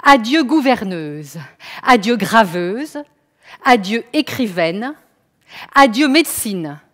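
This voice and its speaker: A woman's voice: on the high side, expressive, slow, fairly bright tone, big-room sound. A woman performing a declamatory stage text with emphatic, rhythmic recitation.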